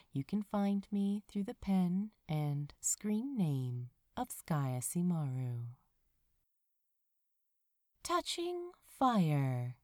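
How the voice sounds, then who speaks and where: A woman narrates fiction aloud in an expressive audiobook style. Her pitch rises and falls dramatically across phrases, and she reads at a slow, measured pace. The sound is dry, with hardly any room. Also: no echo. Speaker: podfic reader, narrator.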